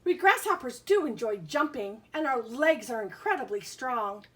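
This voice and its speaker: A woman's voice: on the high side, expressive, moderate pace. A woman teaching young children, reading aloud and explaining simply, with questions and carefully sounded-out words. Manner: sounds out letters and words with emphasis. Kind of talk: children's lesson and picture-book read-aloud.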